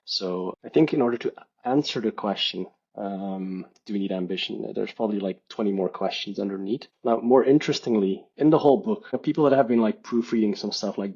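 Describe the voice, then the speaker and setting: Gravelly low-voiced Belgian man, lo-fi. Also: darkish tone. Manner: thoughtful, hedging, many restarts and fillers. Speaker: podcast guest, author. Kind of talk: casual reflective conversation.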